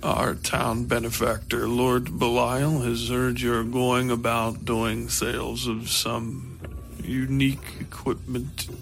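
A man's voice: mid-range voice, level tone, slow, gravelly, a bit bright, a little hiss or hum. A man voicing a gaunt, eerie servant of a lord in a horror story, formal and detached, tumbling through his syllables.

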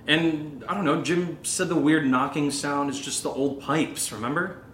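Casual young American actor as a man, rumbly background. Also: fairly even intonation, moderate pace, a bit bright, a little hiss or hum, close to an everyday voice. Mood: reassuring, defensive. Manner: casual, hesitant, sweary. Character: anxious young man playing the rational, reassuring friend. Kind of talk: naturalistic audio drama acting.

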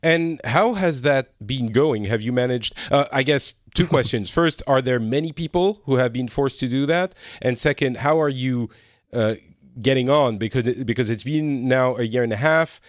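A man hosting a podcast interview, talking in a casual, halting way with many fillers and restarts. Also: medium pitch, no room sound, clean studio sound. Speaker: podcast host.

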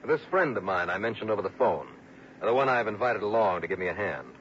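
A dull, slightly hissy old radio recording of a confident American investigator. He talks fast in a clipped, wry way, in the style of early sixties radio drama. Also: lowish voice, lively intonation, fairly bright tone. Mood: brisk, businesslike. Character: brisk, confident male insurance investigator. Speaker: lead actor and narrator.

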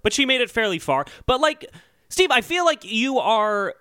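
Male podcast co-host, high and bright voice, casual and earnest, rambling as he explains and defends his points. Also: very animated, normal speed, clean tone, no echo. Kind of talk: casual comedic podcast discussion.

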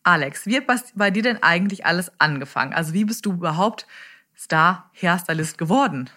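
A woman's voice: fairly low pitch, animated, a little fast, pushed and bright. A woman hosting a beauty podcast, presenting in interview style with scripted sponsor reads. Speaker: podcast host.

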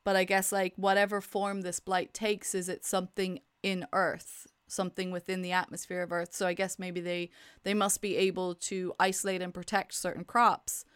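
Irish woman co-host, chatty and rambling, clear smooth tone, dry close mic. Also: lowish voice, little pitch movement, moderate pace, hardly any pauses, fairly bright tone, no room sound. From Ireland. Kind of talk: casual chat.

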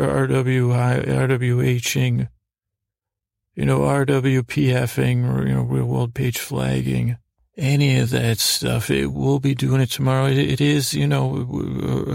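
A man hosting a bedtime podcast, rambling whimsically in a halting, meandering monologue with repeated half-words. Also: mid-range voice, gravelly, a little mellow, no room sound, studio quality. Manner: whimsical, mock-serious. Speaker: podcast host. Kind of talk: rambling bedtime monologue to help listeners sleep.

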